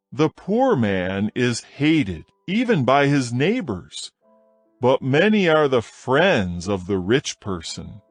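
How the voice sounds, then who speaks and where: Guy reading scripture real slow, lots of rise and fall, dry close sound, soft music under it. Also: mid-range voice, no room sound. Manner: slow, measured, expressive reading. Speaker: scripture reader.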